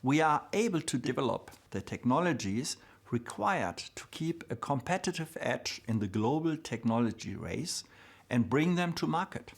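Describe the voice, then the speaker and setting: A man's voice: mid-range voice, sing-song, gravelly, breathy edge. Man delivering formal prepared corporate remarks. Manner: formal, measured, deliberate. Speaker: corporate executive giving opening remarks. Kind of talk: read prepared speech.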